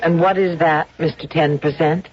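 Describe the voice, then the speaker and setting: An actress playing a proud, sardonic society princess in a radio drama, with a cool, dry manner. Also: female voice, low-pitched, fairly even intonation, normal speed, a little mellow, lightly acted. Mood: haughty, sardonic. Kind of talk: radio drama acting and first-person narration. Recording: muffled radio broadcast recording.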